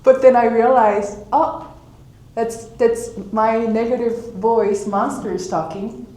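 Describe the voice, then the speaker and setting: A woman's voice: on the high side, steady tone, slow pace, mellow, roomy sound, rough recording. A woman speaking English with a Korean accent, giving a live author talk and telling personal stories in a reflective way, sometimes acting out her own inner voice.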